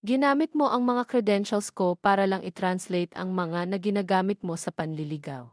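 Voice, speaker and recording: A woman's voice: medium pitch, moderate pace, no echo, clean studio sound, natural voice. A woman reading a wounded, bitter young woman. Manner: bitter, accusing.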